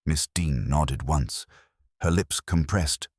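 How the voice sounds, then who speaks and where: A man's voice: very deep voice, slow pace, a little mellow, husky, dry recording, studio quality. A man reading a literary mystery story as scripted narration. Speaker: audiobook narrator.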